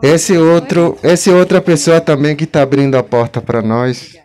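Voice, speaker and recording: A man's voice: on the high side, fairly even intonation, moderate pace, darkish tone. A young man holding a mic at an outdoor gathering, speaking to the crowd in a plain, friendly way. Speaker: community guest speaker. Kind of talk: casual address to a crowd. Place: outdoor park among trees. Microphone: handheld mic.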